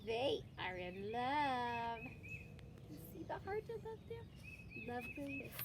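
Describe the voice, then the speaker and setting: Female storybook reader, highly animated, slow-paced, clear birdsong and outdoor noise around her. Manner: expressive, prompting the young reader along. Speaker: adult reading aloud with a child. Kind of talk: picture-book read-aloud to children.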